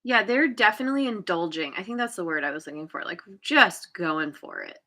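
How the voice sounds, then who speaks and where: A woman's voice: fairly low pitch, lively intonation, fairly quick, pushed and bright, a little hiss or hum. A woman giving a casual tarot reading, talking in a hesitant way with many fillers and restarts and laughing at herself now and then. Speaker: tarot reader.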